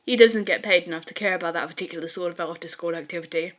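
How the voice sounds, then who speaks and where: A woman's voice: lowish voice, fast. A woman reading fan fiction aloud as a scripted story narration.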